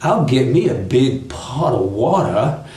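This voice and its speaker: A man's voice: mid-range voice, big pitch swings, takes it slowly, darkish tone, roomy sound. A man voicing a sly, gloating fox in a folk tale, drawling and taunting as he thinks aloud. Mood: gloating, musing. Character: sly, gloating fox savoring his catch.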